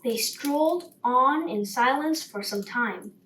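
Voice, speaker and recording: A woman's voice: high voice, animated, takes it slowly, a bit bright. A woman reading a bedtime story aloud as a narrator. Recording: roomy, distant mic, faint noise.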